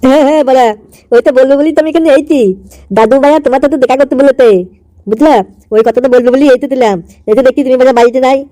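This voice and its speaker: Woman doing a high-voiced cheeky cartoon schoolboy. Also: steady tone, racing, dark tone, no echo, close to an everyday voice. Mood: nervous, excusing. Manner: slangy, wheedling, brash. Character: cheeky, pushy, foul-mouthed cartoon schoolboy. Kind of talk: cartoon comedy dialogue.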